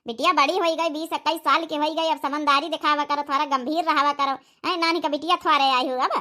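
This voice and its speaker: A woman's voice: high-pitched, fairly even intonation, fairly quick, pushed and bright. A woman voicing a scolding village mother in a cartoon comedy, with an Awadhi accent and a nagging, repetitive way of talking. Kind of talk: cartoon comedy dialect acting.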